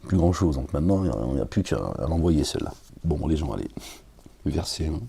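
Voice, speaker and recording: A middle-aged man from France giving a rambling, aggrieved talk, then reading scripture aloud, often dropping to a low murmur. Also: big pitch swings, fairly quick, vocal fry, warm tone, slight background noise. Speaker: religious preacher.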